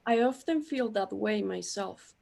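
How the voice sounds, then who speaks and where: A woman playing an old, outwardly calm companion in a radio play, measured and matter-of-fact. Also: mid-range voice, creaky, exaggerated voice.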